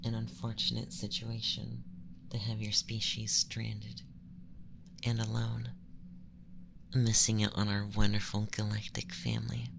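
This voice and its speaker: An ASMR performer voicing a calm, condescending young male alien abductor in a breathy, often whispered, gentle and formal monologue. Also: medium pitch, measured pace, long pauses, noisy background. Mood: solemn, explanatory. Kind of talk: ASMR roleplay, scripted monologue.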